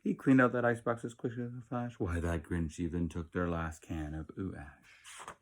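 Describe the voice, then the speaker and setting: A man with a low voice narrates a children's story in rhyme. He reads as a dad would, with theatrical emphasis and plenty of expression. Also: steady tone, moderate pace, slight background noise. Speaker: father reading aloud.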